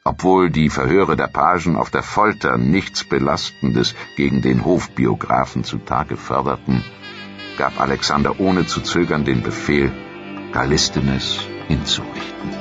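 A man reading a scripted historical documentary in a measured, dramatic storytelling manner. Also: very deep voice, a bit bright, lo-fi sound, soft background music. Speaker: narrator.

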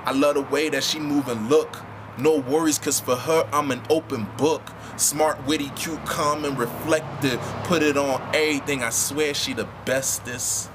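A man's voice: a little high, fairly even intonation, normal speed, noisy background. A man rapping a verse in a rhythmic flow outdoors. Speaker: rapper. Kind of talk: rap verse. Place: outdoors by a house doorway.

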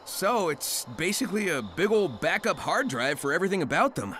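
An actor voicing a breezy, upbeat hedgehog hero in a video game cutscene, casual with slang. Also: male voice, sits high, very animated, fairly quick, fairly bright tone, slight background noise. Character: cocky fast blue hedgehog hero, upbeat. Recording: game audio with music underneath.

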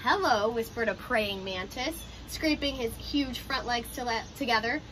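A young woman with a high voice reads a picture book aloud for small children. Her delivery is expressive and aimed at young listeners. She is recorded outdoors on a porch, with clear ambient noise behind her. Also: moderate pace. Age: young adult. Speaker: teacher reading picture books aloud. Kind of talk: children's storybook read-aloud. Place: outdoors on a porch by glass doors.

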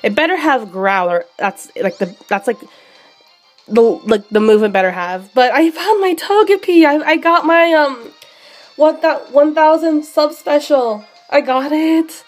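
A young woman streaming a handheld game, sick and losing her voice, chatting excitably with many fillers. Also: high-pitched, measured pace, faint music behind. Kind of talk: live shiny hunting commentary.

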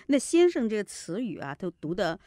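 Lively-pitched woman judge, dry studio sound. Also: fairly quick, dry recording. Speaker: teacher and competition judge. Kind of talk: casual spoken critique. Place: small radio studio. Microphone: close studio microphone on boom arm.

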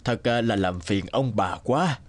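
A man's voice: mid-range voice, unhurried, close to an everyday voice. A man playing a humble, injured old traveller in a cartoon, speaking hesitantly and apologetically, with groans. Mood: pained, humble. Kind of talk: cartoon dubbing.

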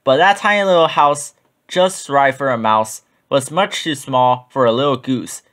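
A man narrating a children's picture book in an expressive read-aloud style. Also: mid-range voice, unhurried.

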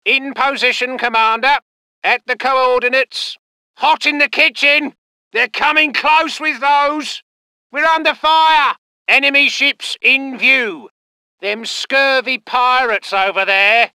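An actor playing a dutiful, proper British naval ensign in a video game, with clipped, formal military phrasing. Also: male voice, extremely high pitch, slow, pushed and bright, clear, resonant tone, no echo, exaggerated voice. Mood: brisk, dutiful, then alarmed, shouting. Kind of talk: military unit voice lines.

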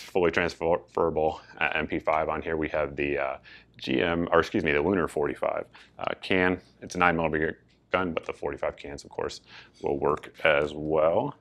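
A man, casually talking viewers through a factory tour and then showing gear in an office, with lots of fillers and self-corrections. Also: extremely low pitch. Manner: relaxed, chatty, many fillers and self-corrections. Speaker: gun channel host. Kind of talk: casual walkthrough vlog. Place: loud factory floor, then a small office room.

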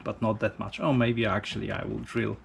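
A man casually narrating a DIY vlog in an informal, halting, self-deprecating way. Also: fairly low pitch, little pitch movement, vocal fry, slight background noise. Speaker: motorcycle hobbyist, video host.

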